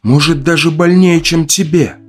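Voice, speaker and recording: A man voicing a bitter, menacing, jilted woman who taunts and reproaches slowly in a dramatic audio story. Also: a little high, steady tone, husky, faint music behind, pitched above the performer's own voice. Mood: wounded, menacing.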